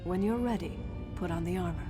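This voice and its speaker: Low, echoing, solemn ghost woman. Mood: grave, mournful. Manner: grave, deliberate, portentous. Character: solemn, grieving ghostly woman from the ancient past, resolute. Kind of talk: video game character acting. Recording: long reverb, music behind.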